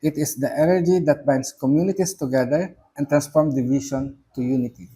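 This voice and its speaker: A middle-aged man from the Philippines with a Filipino accent, a reverend reading a spiritual reflection aloud, slowly and with emphasis on key words. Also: a little high, steady tone, warm tone, husky, poor audio. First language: not English. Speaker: reverend giving commentary.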